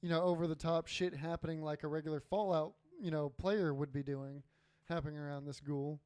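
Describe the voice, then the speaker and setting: A man's voice: on the high side, flat delivery, fairly quick, a little mellow, smooth, no echo. A man on a podcast panel, chatting casually. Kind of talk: casual chat.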